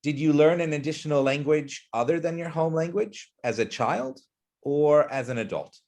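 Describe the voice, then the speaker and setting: A man's voice: fairly high pitch, lively intonation, moderate pace, darkish tone. A man presenting an education webinar, explaining ideas earnestly and putting questions to the audience.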